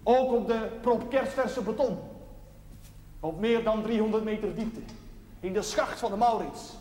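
An actor playing an earnest, playful, plain-spoken village chaplain, preaching emphatically with pauses in a television drama. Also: male voice, high voice, darkish tone. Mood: fervent, solemn. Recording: noisy, echoey church-like hall.

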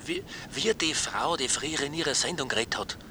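An actor playing a bashful, besotted rural husband from Styria, halting and flustered, phoning a radio show with an Austrian accent. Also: male voice, a little high, sing-song, pushed and bright, a little hiss or hum, full character voice. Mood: smitten, halting. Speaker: radio phone-in caller. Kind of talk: naturalistic radio drama dialogue.

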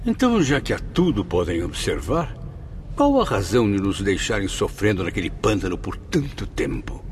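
A man's voice: lowish voice, sing-song, moderate pace, a little hiss or hum. A Brazilian man playing a troubled, questioning rescued soul in a radio drama, with a literary, dramatic delivery. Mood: indignant questioning. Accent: Brazilian Portuguese.

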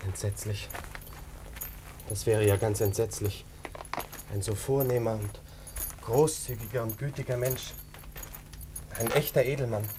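An actor playing an earnest, naive young army lieutenant, formal and polite, in a German radio drama. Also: male voice, on the low side, fairly even intonation, unhurried, pauses often, background noise, natural voice. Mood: dismayed.

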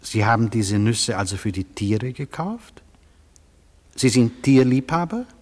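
An actor playing an aging, dry, official judge who questions the accused in formal, measured phrases in a radio play. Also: male voice, medium pitch, expressive, a little mellow. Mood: probing, stern. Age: older. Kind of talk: 1970s literary radio play acting. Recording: long reverb of a hall.

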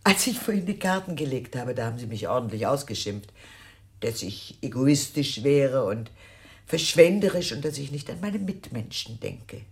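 An actress playing a scatterbrained, extravagant middle-aged aunt in a radio crime play, gushing theatrically in halting, sighing phrases. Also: female voice, very deep voice, sing-song, darkish tone, breathy edge, heavily acted. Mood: remorseful, effusive.

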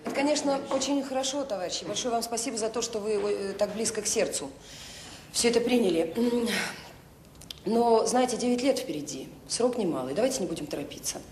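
An actress playing a devoted, earnest director of a children's home, speaking in short emphatic phrases in a naturalistic radio drama. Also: female voice, lively intonation, a little fast, a little mellow, close to an everyday voice. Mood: earnest, persuasive. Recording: roomy sound with faint noise and rumble.

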